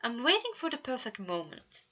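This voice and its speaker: A woman's voice: a little high, very animated, moderate pace, bright, forceful tone, dull audio, voice pushed up, exaggerated voice. A woman voicing a dreamy, theatrical young prince in love, in a story reading. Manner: theatrical, sighing.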